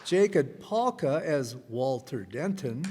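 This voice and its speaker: A man announcing in a measured, presentational way, reading formal credits from a stage. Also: medium pitch, big pitch swings, a crowd in the background. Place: live stage in a hall. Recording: reverberant hall, audience noise and hiss.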